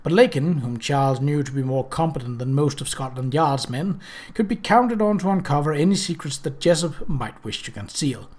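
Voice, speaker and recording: A man reading a scripted story aloud as an audiobook narrator, with an expressive, dramatic delivery. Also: fairly high pitch, normal speed. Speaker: audiobook reader.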